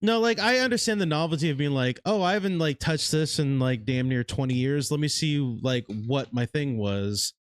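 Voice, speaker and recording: A man with a deep, grizzled voice chatting casually as a podcast co-host, blunt and profane, breaking into loud excited outbursts. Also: normal speed, hardly any pauses, smooth, dry recording. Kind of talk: casual chat.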